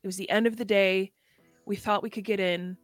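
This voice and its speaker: A woman's voice: medium pitch, fairly even intonation, no echo, soft background music, natural voice. Woman acting as a defensive young mechanic. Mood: sheepish explaining. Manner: halting, insistent, self-justifying. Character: defensive, defiant young mechanic caught up in crime.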